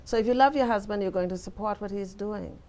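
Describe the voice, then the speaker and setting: A woman's voice: medium pitch, fast talker, warm tone. An older woman from the Bahamas with a Bahamian accent, a guest in an interview giving firm, preacherly advice. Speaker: interview guest, retired teacher and pastor's wife. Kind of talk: conversational interview.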